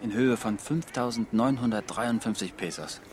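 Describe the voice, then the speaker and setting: An actor playing a self-satisfied young police detective, telling gossipy, animated stories in audio drama dialogue. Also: male voice, medium pitch, moderate pace, close to an everyday voice. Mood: gleeful.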